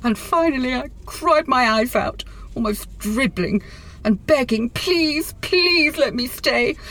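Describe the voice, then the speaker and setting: A woman's voice: fairly high pitch, fairly bright tone, poor audio. An actress playing a distraught middle-aged office woman in a radio play, with a wordy, wounded, dramatic delivery.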